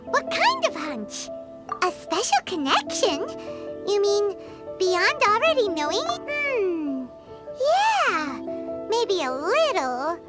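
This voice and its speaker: A woman voicing a tiny, childlike, excitable fairy companion with a squeaky voice in a video game. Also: takes it slowly, exaggerated voice. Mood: pondering, urgent. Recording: music behind the voice.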